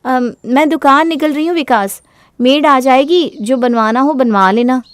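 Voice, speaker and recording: Woman playing a sharp-tongued, high-voiced young wife. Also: moderate pace, clean tone, no room sound, natural voice. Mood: curt, complaining. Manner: sharp-tongued, demanding, argumentative. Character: self-centred, smooth-talking young married woman, bossy and defiant.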